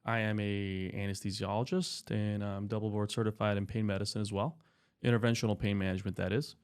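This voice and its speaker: A man in his thirties, a doctor and podcast guest, explaining his work in a relaxed, chatty way with many fillers. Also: on the low side, a little fast, smooth. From Spain, raised in California. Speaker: anesthesiologist guest. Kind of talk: casual interview talk.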